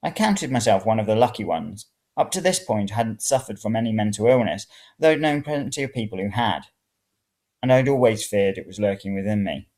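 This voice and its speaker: Expressive story reader with very lively pitch. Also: brisk. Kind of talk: first-person narration of serial fiction.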